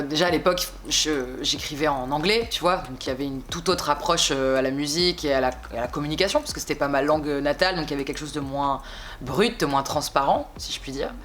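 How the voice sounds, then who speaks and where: A young woman in her twenties from France, a singer chatting casually in an interview, relaxed and slangy, rambling with frequent little asides. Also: low-pitched, slight background noise. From France (Bordeaux).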